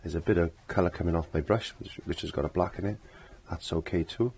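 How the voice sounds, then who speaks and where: A middle-aged man with a croaky voice giving a casual step-by-step painting lesson, rambling as if to himself and repeating his phrases. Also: deep voice, steady tone, fast talker, a little mellow. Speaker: painting instructor. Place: indoor home art studio.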